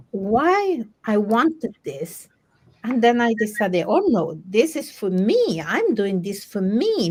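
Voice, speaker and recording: A woman from Panama with a Spanish accent, telling personal stories conversationally in halting, self-correcting English as a coach. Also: fairly high pitch, a little mellow. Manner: halting, self-correcting second-language phrasing. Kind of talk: conversational personal storytelling in a group discussion.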